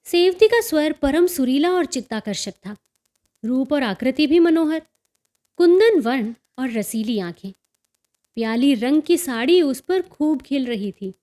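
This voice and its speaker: A woman reading a literary story as an audiobook narrator, in an expressive, enunciated way. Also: sits high, a little slow.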